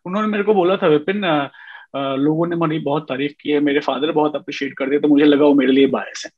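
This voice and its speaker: A man's voice: on the high side, fast talker, breathy edge. Man on a dull-sounding video call. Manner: animated storytelling, mixing Hindi and English, many fillers. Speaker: music composer guest. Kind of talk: casual interview on a video call. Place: indoor room at home. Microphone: webcam on a video call.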